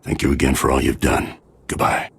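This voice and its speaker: Very deep gravelly officer. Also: male voice, monotone, a little fast, a crowd in the background. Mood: grateful, measured. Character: very deep gravelly grateful male officer, measured and formal. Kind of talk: video game cutscene acting.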